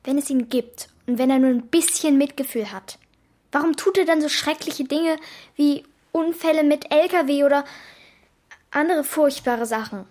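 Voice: sits high, moderate pace, darkish tone, lightly acted. A performer playing a bold, resourceful young girl in a children's radio play, blunt and self-assured. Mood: defiant, blunt.

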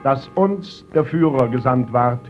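A man's voice: medium pitch, lively intonation, unhurried. A man declaims in 1940s wartime broadcast style, chanting and stretching his words sharply. The archive recording is muffled and lo-fi, with faint music underneath. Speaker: Nazi-era radio announcer. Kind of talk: 1940 wartime propaganda broadcast.

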